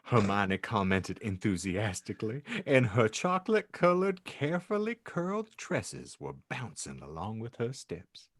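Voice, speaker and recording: Male host narrating in a theatrical, wry read, lively pitch, dry close sound. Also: moderate pace, few pauses, no echo. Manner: theatrical, wry, breaks into laughter. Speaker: podcast host reading as narrator. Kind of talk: comedic dramatic reading with asides.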